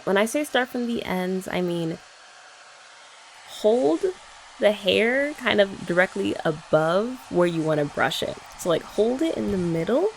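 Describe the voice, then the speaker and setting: A young woman in a sweet, chatty girlfriend roleplay for ASMR, talking softly and often laughing gently. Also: lowish voice, slight background noise, poor audio.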